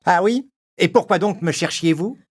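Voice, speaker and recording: A performer voicing a boastful, scornful, arrogant male hare in an animated children's story. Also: mid-range voice, moderate pace, lightly acted. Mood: curious. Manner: bragging, scornful.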